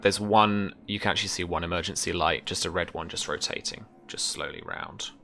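A man in his thirties with a low, bright voice runs a tabletop game. He describes scenes in hesitant pieces, close to the mic on a video call. Soft music plays faintly behind him. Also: brisk. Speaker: game master. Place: small room at home with bookshelves.

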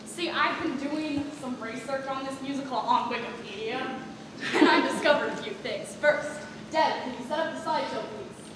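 A woman's voice: high-pitched, normal speed, natural voice. Distant, echoey teen girl as bossy stage manager. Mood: sarcastic, exasperated. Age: teenager. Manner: overdramatic, sarcastic, commanding. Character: bossy, doom-laden young female stage manager. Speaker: student stage actor. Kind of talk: scripted stage comedy acting. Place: large school theatre stage, audience present. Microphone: far camera in audience. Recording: distant, noisy, echoey auditorium stage recording.

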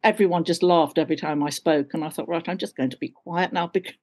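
A woman's voice: low-pitched, quick pace, darkish tone. An older woman with a London RP accent, chatting expressively in a casual interview over a video call from home. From London, England. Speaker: actor, interview guest. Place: small indoor room at home.

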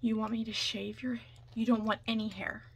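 A young woman doing a soft, breathy ASMR roleplay as a makeup artist, often slipping into a whisper, chatty and reassuring in a halting way. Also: on the high side, flat delivery. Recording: clear background noise.

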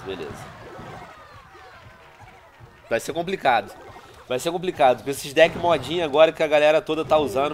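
A man in his thirties with a Brazilian Portuguese accent, stuffy from a cold, chatting to viewers in casual game commentary over a headset at home. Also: medium pitch. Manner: chatty, addresses viewers constantly, excitable outbursts. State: congested with a cold. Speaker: gaming YouTuber. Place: small room at home, indoors.

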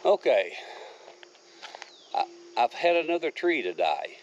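Folksy homesteader, mid pitch, easygoing rambling talk, slightly muffled camera audio with faint crickets outdoors. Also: male voice, big pitch swings, slow, a little hiss or hum. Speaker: homesteader showing his land. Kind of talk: casual walk-around narration. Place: outdoors on rural wooded land. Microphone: handheld camera. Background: crickets and insects.

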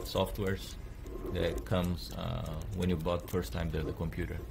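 A man's voice: deep voice, flat delivery, unhurried, vocal fry, noisy background. A man hosting a tech channel, walking viewers through a computer reset in a plain, hesitant way with fillers. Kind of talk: casual how-to tutorial.

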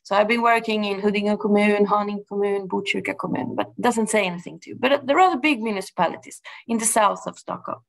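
A middle-aged woman with an Argentine Spanish accent gives a personal talk, her voice carrying a gravelly edge. She speaks thoughtfully, often pausing and restarting before she finds her words. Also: mid-range voice, poor audio. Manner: thoughtful, halting with frequent restarts and fillers. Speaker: gardener and project manager giving a talk.

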